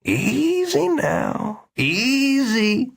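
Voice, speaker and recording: A man voicing a gentle hospital doctor who speaks slowly and coaxingly, in an audiobook. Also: high voice, huge pitch swings, vocal fry, breathy edge, pitched above the performer's own voice, exaggerated voice. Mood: soothing. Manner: slow, coaxing, reassuring.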